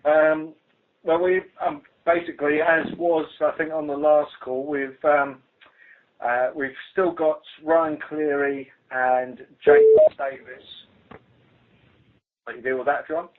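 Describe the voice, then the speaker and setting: A man's voice: fairly high pitch, steady tone, slight background noise. A British man, a police officer, speaking hesitantly and informally on a work conference call over the telephone. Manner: hesitant, halting. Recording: tinny phone conference line.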